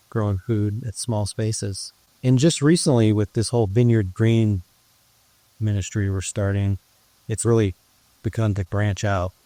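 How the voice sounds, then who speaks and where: A man's voice: on the low side, warm tone, no room sound. A man interviewed as a guest about growing food and his ministry, speaking earnestly with frequent restarts. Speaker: guest, urban farmer and ministry leader. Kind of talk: conversational interview.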